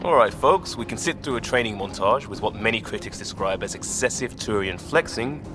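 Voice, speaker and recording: A man's voice: fairly low pitch, lively intonation, brisk, natural voice. A man playing an easygoing, joking young spaceship crewmate in casual, wry video game dialogue. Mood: teasing. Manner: wry, informal. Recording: reverberant, faint music underneath.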